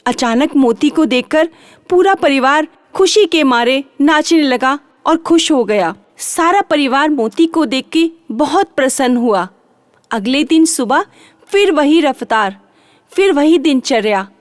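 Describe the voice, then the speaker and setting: A woman's voice: high voice, lively intonation, measured pace, dry recording, clean studio sound. A woman narrating a children's bedtime story, repeating and drawing out phrases for drama.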